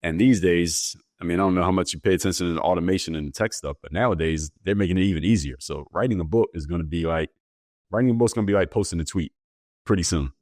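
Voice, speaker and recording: A man with a very deep voice answers interview questions as a guest coach. He explains things in a steady, matter-of-fact way. The sound is dry and close. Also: fast, no room sound.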